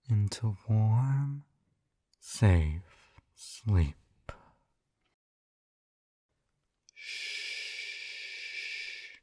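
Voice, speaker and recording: Guy doing a yandere hypnotist man, super slow soft mellow voice, whispery, controlling but doting, asmr roleplay close on a dry mic. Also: lowish voice, dry recording, exaggerated voice. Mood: soothing, hypnotic. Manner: slow, soothing, coaxing, often dropping to a whisper. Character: possessive, doting male yandere hypnotist captor, gently controlling.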